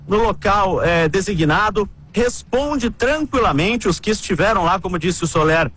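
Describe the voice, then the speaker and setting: A man with a Brazilian accent, reporting on the team over a remote call and telling it in a steady, explaining way. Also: high voice, husky, low-quality recording. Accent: Brazilian Portuguese. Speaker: reporter covering the national team. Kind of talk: sports talk panel. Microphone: remote call with a weak connection.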